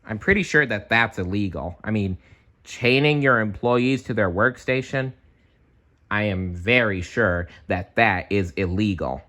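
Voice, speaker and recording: A man reading a children's storybook aloud, expressive and chatty, with casual asides. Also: fairly low pitch, unhurried. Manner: animated, chatty.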